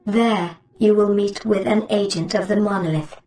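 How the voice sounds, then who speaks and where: A woman's voice: mid-range voice, steady tone, moderate pace, a crowd in the background, lightly acted. A woman voicing a haughty, menacing droid master giving commands in a comic sci-fi radio drama, in a roomy, distant recording. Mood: imperious.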